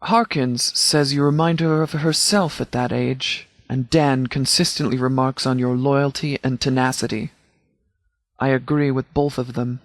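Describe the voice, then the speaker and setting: An actor playing a stern, principled middle-aged sheriff in a video game, speaking in a formal, grave and deliberate way. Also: male voice, medium pitch, steady tone, no room sound, clean studio sound, close to an everyday voice. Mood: approving. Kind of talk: scripted video game character dialogue.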